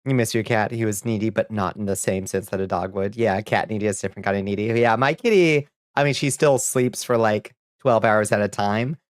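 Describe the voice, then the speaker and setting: A man's voice: fairly low pitch, dry recording. Chatty streamer man, dry close sound. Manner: rambling, chatty, quick laughs, reads chat aloud. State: a little sniffly. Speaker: streamer reading chat. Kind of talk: casual chat while gaming.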